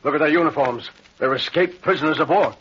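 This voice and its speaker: A man's voice: a little high, fast, fairly bright tone, breathy edge. An actor playing a decent, wealthy male house owner who speaks earnestly and urgently, in 1940s radio acting style. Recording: dull, faintly hissy 1940s radio.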